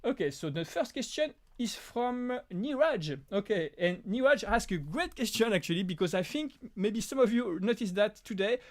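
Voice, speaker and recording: High-voiced Frenchman hosting a live Q&A. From France. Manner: reads out chat names, frequent restarts and laughs. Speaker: live-stream host. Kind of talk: casual live Q&A chat. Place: apartment.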